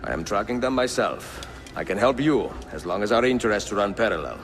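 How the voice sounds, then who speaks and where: An older man with an Italian accent, playing a wise, weary and resolute aging assassin in a video game, speaking in a calm, measured way. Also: on the low side, close to an everyday voice. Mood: guarded, dry. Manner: measured, deliberate. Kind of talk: cinematic game acting, grave and measured. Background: music.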